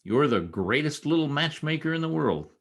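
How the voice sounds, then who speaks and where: A man's voice: on the high side, big pitch swings, moderate pace, creaky, full character voice. A man acting a wry, scheming newspaper reporter in an old-time radio comedy, with a theatrical delivery. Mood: mock romantic.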